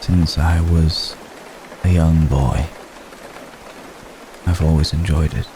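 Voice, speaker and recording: A man playing an ancient, brooding, remorseful vampire gentleman in an intimate ASMR roleplay, speaking formally and solemnly with long, measured pauses. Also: rumbling low. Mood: somber, wistful. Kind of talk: ASMR roleplay, intimate slow dramatic monologue. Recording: steady rain noise behind the voice. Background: rain.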